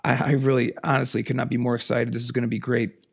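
A man hosting a solo podcast, raspy from a cold, talking in an earnest, emphatic, passionate way. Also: flat delivery, fast. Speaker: podcast host. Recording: lower audio quality.

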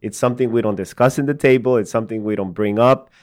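A man's voice: mid-range voice, steady tone, fast, a little mellow. A man from El Salvador hosting an interview, talking casually with frequent "like" and "right?". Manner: casual, uses "like" and "right?" often. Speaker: podcast host. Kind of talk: conversational interview.

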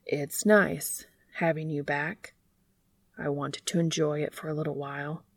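A woman's voice: deep voice, little pitch movement, unhurried, no room sound, pitched below the performer's own voice. A woman voicing a guarded, self-doubting male dandelion flower in a storybook, subdued and hesitant. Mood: shy, wistful. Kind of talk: storybook character reading.

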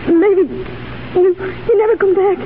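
Very high, tearful lovestruck girl, old radio. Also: steady tone, background noise. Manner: halting, emotional. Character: tearful, lovestruck young woman called Cigarette. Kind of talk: 1930s radio drama acting. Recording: thin phone-like 1930s radio recording.

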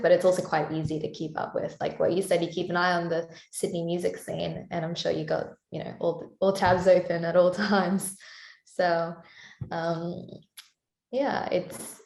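A woman with a Canadian-sounding accent talks easily through an interview, her voice sitting on the low side with a gravelly, fry-edged texture. She laughs lightly between thoughts. The recording carries a noticeable roomy echo. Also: a little mellow. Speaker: singer-songwriter guest. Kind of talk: casual interview chat.

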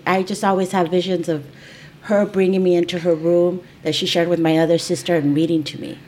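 A woman hosts a podcast conversation, chatty and encouraging, affirming her guest often. She grew up speaking Spanish at home and her voice sits a little low. A faint noise is heard behind her. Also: little pitch movement, a little fast, mellow. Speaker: podcast host, employment attorney. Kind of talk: conversational interview.

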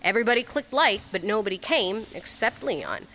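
A woman's voice: medium pitch, sing-song, moderate pace, bright, forceful tone, a little hiss or hum. A young woman playing a flustered, grieving ex-girlfriend who stammers her way through a confessional memorial speech in an audio drama. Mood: sad, rueful. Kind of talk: audio drama monologue, naturalistic.

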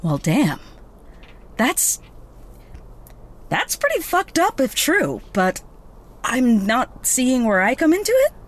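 A woman playing a chatty, excitable young veterinarian with magic, in a naturalistic audio drama. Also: fairly high pitch, slow pace, long pauses, full character voice. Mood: frank, thoughtful. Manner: chatty, excitable, casual swearing. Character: bubbly, frank young woman vet with magic.

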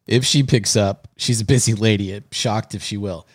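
Fairly low male podcaster, dry sound. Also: steady tone, normal speed, breathy edge, no echo. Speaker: podcast host. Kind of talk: casual chat and prank calls.

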